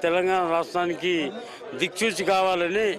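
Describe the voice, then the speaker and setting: High-pitched, emphatic male politician. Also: fairly even intonation, normal speed, a crowd in the background. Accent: Telangana Telugu. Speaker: local legislator. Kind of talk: political interview answers.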